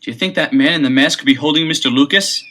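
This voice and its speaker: A man's voice: on the high side, fast, a bit bright, close to an everyday voice. An actor playing an eager younger teenage boy detective in a 1970s audio adventure, with an emphatic theatrical delivery. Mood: alert.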